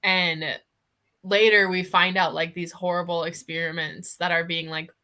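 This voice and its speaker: A woman with a fairly low but bright voice co-hosts a casual book discussion on a video call. She talks like an excited friend, giggly and opinionated, with "like" scattered through her sentences. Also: normal speed. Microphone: livestream video call.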